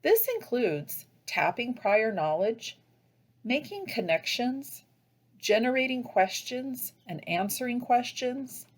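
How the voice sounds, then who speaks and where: An American woman narrating a scripted instructional presentation as a teacher trainer, explaining in a measured, didactic way. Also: on the high side, sing-song.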